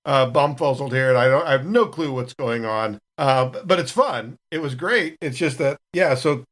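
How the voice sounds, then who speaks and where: A man's voice: mid-range voice, normal speed, fairly bright tone. A middle-aged man, a veteran gamer on a podcast, chatting casually in a folksy, rambling way. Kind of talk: casual group chat.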